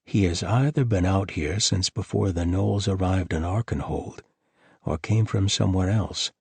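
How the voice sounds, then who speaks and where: A man voicing a wary, dry-witted traveling doctor who speaks in a measured, guarded way, with a husky voice. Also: deep voice, a little mellow, no room sound, heavily acted. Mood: musing.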